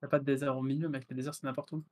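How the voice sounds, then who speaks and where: A man chatting casually with his teammates during an online strategy game, planning moves and calling them "mate". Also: mid-range voice. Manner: quick, relaxed, calls others "mec". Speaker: gamer. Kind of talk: casual team voice chat.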